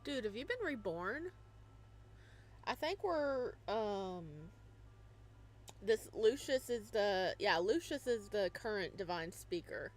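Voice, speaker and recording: A woman's voice: medium pitch, lively intonation, slow pace, pauses often. A young woman hosting a casual live stream, chatting and laughing as she plays a visual novel and greets her viewers by name. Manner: bubbly, giggly, greets chatters by name, hums to herself.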